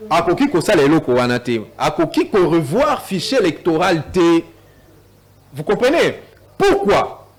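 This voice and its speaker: A man's voice: fairly high pitch, sing-song, moderate pace. A man with a Congolese French accent, a guest in a TV political debate, mixing French with Lingala and stumbling over repeated words.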